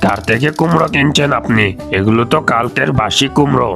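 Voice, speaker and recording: A man voicing a brash, spiteful animal uncle in a cartoon, a pushy rival seller with a gravelly voice. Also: medium pitch, brisk, over music, lightly acted. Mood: scoffing, pushy. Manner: scoffing, pushy. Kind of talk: cartoon character voice acting.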